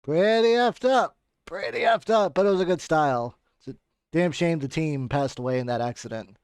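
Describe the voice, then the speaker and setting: A man's voice: a little high, normal speed, clear, resonant tone, no echo. A man who streams games, giving casual, off-the-cuff watchalong commentary into a close mic. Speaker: game streamer, host.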